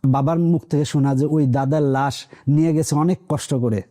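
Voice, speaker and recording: A man's voice: on the high side, steady tone, normal speed, dark tone. A man in his thirties from rural Bangladesh, with a Bangladeshi accent, recounting his life story conversationally as an interview guest. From rural Bangladesh, near the Indian border. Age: 30s.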